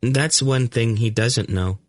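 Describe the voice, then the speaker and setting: An uncanny young storybook boy voiced by a man. He speaks higher than the narrator's natural voice, calm and matter-of-fact, as if he simply knows things. The sound is close and dry. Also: takes it slowly, dry recording, close to an everyday voice. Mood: calm, knowing. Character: calm, uncanny young blond boy who reads minds.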